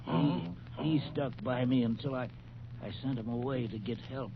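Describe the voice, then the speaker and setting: A man plays an injured old prospector in a vintage radio western. He is tough and forgiving, with weary, faltering, folksy speech at a middling pitch. The recording is muffled, with faint noise behind him. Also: moderate pace, natural voice. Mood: wistful.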